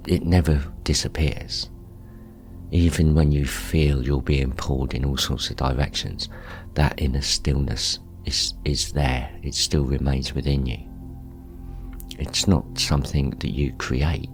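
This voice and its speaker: Really deep slow guy doing a guided meditation, soft calm manner, pauses a lot. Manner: calm, gentle, unhurried with pauses. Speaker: meditation guide, show host. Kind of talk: guided meditation, gently spoken instruction. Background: music.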